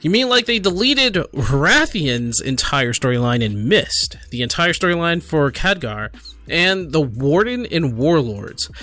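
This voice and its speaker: A man giving casual reaction commentary as a gaming video creator, halting and conversational as he thinks aloud and reads out comments. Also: fairly high pitch, sharp tone.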